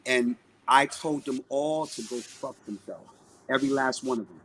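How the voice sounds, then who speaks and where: A man's voice: medium pitch, normal speed, fairly bright tone, slight background noise, rough recording. A man with a Brooklyn accent speaking as a guest in a podcast interview, outspoken and emphatic, repeating phrases for stress. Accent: Brooklyn, New York. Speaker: guest, singer and music producer. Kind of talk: live podcast interview talk.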